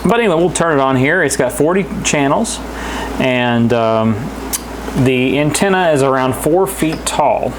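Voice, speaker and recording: Casting: man, mid-pitched, casual product reviewer, plain-spoken and hesitant, recorded indoors with clear background hum. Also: expressive, unhurried. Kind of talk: casual unscripted product demonstration. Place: indoors in a house.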